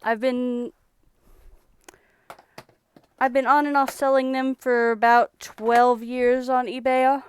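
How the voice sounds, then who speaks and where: A young woman from Texas chatting casually on a live stream about thrift finds she resells, in a relaxed, rambling way. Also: high-pitched, slow pace, pauses often, slight background noise.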